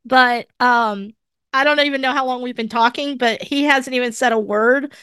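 A woman's voice: high voice, steady tone, normal speed, bright, forceful tone, clear, resonant tone, dry recording, studio quality. A congested woman co-hosting a podcast, chatty and opinionated as she leads a casual conversation from her home office. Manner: chatty and opinionated, leading the talk. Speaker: podcast co-host. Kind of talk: casual chat.

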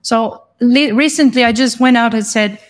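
A woman guest on a podcast, an English teacher, makes her case with steady passion. She stresses her points and repeats them for emphasis. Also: on the high side, normal speed. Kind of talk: conversational interview.

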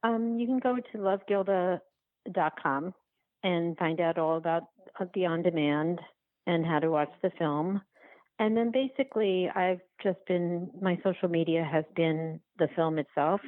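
A woman being interviewed about her work, speaking reflectively and haltingly as she searches for words. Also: lowish voice, phone-quality sound. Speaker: documentary filmmaker, interview guest. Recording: roomy, band-limited remote-call sound.

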